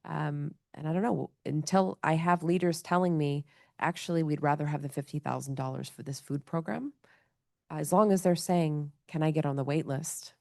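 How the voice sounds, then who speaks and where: A woman's voice: sits low, steady tone, normal speed, vocal fry, darkish tone, no room sound, studio quality. A woman who leads a leadership program, speaking passionately on a discussion panel. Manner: passionate, speaks in quoted voices.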